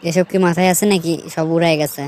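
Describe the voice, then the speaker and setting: A woman's voice: lowish voice, level tone, quick pace, darkish tone, close to an everyday voice. A young woman playing a nagging, impatient girlfriend who scolds in a comedy cartoon.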